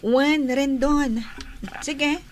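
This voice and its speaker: A woman's voice: high-pitched, fairly even intonation, very slow, noisy background. A woman acting a sweet, shy young woman being courted in a Filipino radio drama, speaking with a Filipino accent. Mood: gentle, coy.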